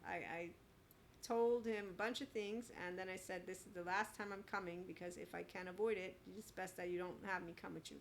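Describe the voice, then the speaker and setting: A woman in her forties speaks in a slightly low voice, hosting a solo podcast. Her delivery is blunt and emphatic, edged with sarcasm as she airs opinions and personal stories. There is some noise in the background of the recording. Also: fairly even intonation, brisk, gravelly. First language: bilingual with Italian. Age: 40s. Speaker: podcast host.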